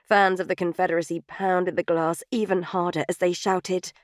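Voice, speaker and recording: Female audiobook narrator: slightly low voice, scripted storytelling, close and dry studio sound. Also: little pitch movement, normal speed, no echo. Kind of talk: scripted third-person fiction narration.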